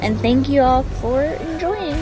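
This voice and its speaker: A woman's voice: sits high, expressive, measured pace. A woman hosting, giving a sign-off to listeners at the end of an audio show. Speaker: host. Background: music.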